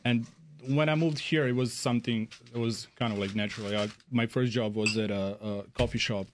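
A man from Serbia, a beverage director, answering interview questions haltingly with many fillers. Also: medium pitch, fairly even intonation, noisy background. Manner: halting, many fillers, non-native phrasing.

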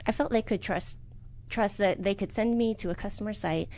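Soft-spoken businesswoman, halting answers. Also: medium pitch. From United States. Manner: soft-spoken, hesitant with many fillers. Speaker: business owner, interviewee. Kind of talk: interview answers.

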